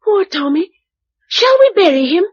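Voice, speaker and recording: A woman's voice: extremely high pitch, big pitch swings, normal speed, lo-fi sound. An actress playing a brave, matter-of-fact nine-year-old English girl in a radio drama, plain-spoken and earnest. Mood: subdued, matter-of-fact.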